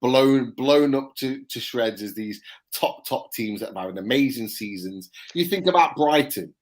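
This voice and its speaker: A man's voice: medium pitch, lively intonation, unhurried. A man co-hosting a fan forum, talking confidently and sharing strong opinions. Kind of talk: casual fan chat.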